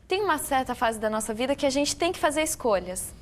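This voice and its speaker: A woman with a Brazilian Portuguese accent, hosting a relaxed youth panel, lively and reflective. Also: high voice, fairly quick. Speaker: host. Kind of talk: casual panel chat.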